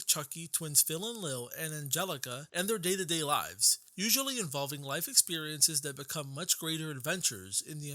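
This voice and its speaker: Bright, animated male documentary narrator. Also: on the high side, dry recording. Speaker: video essay narrator.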